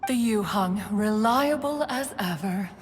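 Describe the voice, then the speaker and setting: A woman's voice: mid-range voice, animated, unhurried, a little hiss or hum, close to an everyday voice. A woman playing a stately, doting and self-important immortal mountain guardian in a fantasy game, with formal archaic diction. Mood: caring, composed. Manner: formal archaic diction, refers to herself as "one". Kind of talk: scripted fantasy game dialogue. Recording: long hall reverb, music underneath.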